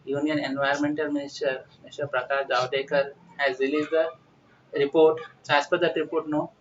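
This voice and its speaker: A man from India with an Indian accent giving an informal, explanatory current affairs lecture as a teacher, repeating points instructively. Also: medium pitch. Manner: repetitive, instructive, with "you know" tags. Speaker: exam-prep teacher. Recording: distant mic, muffled lo-fi, faint noise.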